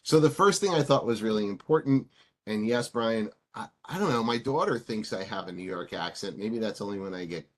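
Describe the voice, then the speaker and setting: A man presenting in an online webinar, his voice sitting on the low side. He talks in a loose, chatty way, full of "um"s and repeated false starts. The sound comes through a video call. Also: lively intonation, brisk. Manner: chatty with frequent fillers and stammered restarts. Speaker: webinar presenter on condo lending. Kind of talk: presentation in a web seminar.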